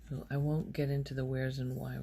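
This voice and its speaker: A soft-spoken woman rambling in a halting, unhurried ASMR style, her quiet voice often close to a whisper. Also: flat delivery, slight background noise. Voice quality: soft, low-volume voice, often near whisper. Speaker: ASMR video host.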